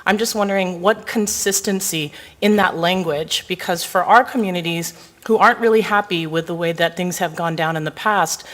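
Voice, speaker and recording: A woman's voice: fairly low pitch, moderate pace, few pauses, a bit bright. A woman whose first language is Hawaiian, a committee member pressing an urgent, earnest question at a meeting in long winding sentences. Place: meeting room.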